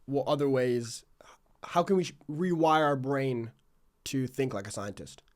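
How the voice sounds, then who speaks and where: A man's voice: medium pitch, measured pace. A young man hosting a casual podcast interview, talking informally and reflectively with plenty of "like". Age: young adult. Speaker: podcast host.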